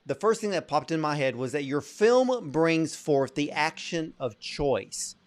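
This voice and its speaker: Male interviewer, earnest, keen, voice a bit higher than most men. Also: lively intonation, unhurried. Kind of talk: interview questions.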